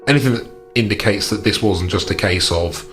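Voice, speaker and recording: A man taking part in a tabletop roleplaying game as a player, asking and describing things in a deliberate, methodical way. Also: lowish voice, over music.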